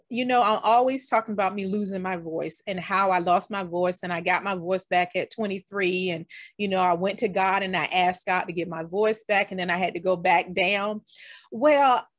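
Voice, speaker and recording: A woman's voice: medium pitch, no echo, studio quality. A woman hosting a podcast interview, talking enthusiastically and casually and addressing her listeners as "y'all". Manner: warm, enthusiastic, talks straight to listeners with "y'all". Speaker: podcast host.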